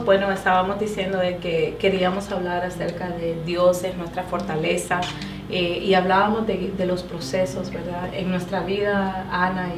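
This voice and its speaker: A woman's voice: lowish voice, recorded from a distance. Woman host with echoey faraway sound. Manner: warm pastoral phrasing with fillers. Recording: echoey room, background noise.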